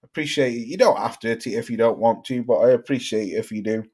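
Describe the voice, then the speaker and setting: A young English man with a Cheshire accent roughened by Stoke speech, talking loosely to his viewers. He rambles, swears freely and speaks bluntly, at an ordinary mid pitch for a man. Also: fast, a little mellow. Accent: Cheshire English mixed with Stoke. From Cheshire, England. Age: young adult. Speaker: livestream host. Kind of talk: casual live chat reading viewer comments.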